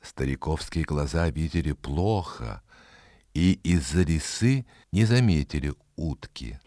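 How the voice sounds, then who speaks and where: A man narrating a children's animal story in an expressive, scripted storytelling style. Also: rumbling low, measured pace, no room sound, studio quality.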